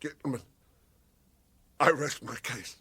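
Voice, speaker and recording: A man's voice: mid-range voice, slow, very noisy, lightly acted. A man playing a florid, pompous convict in a British radio comedy, orating grandly like a theatrical defence advocate. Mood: mock-solemn.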